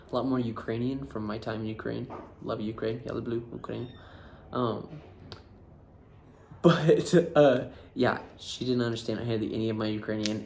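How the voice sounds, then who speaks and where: An American man in casual storytelling, animated and laughing as he acts out what people said. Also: medium pitch, slight background noise. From United States. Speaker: vlogger.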